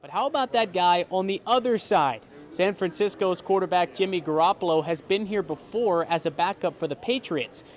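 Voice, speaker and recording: A man's voice: sits high, moderate pace, few pauses. A man in his early twenties doing sports play-by-play and reporting with a polished broadcast delivery. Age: early 20s.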